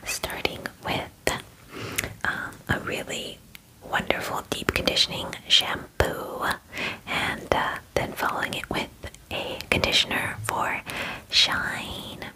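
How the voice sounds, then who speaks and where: A woman in her twenties voicing a gentle, attentive werewolf spa groomer in a soothing ASMR roleplay, whispering breathily and softly repeating words with hesitant pauses. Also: poor audio. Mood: soothing, reassuring. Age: 20s. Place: small dim indoor room. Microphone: close microphone, facing camera.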